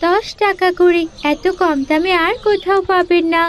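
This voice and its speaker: A woman's voice: very high voice, fairly even intonation, moderate pace. A woman voicing a sweet, earnest young village girl in a cartoon, eager and polite in a childlike way. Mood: cheerful. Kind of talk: Bengali animated fairy-tale voice acting.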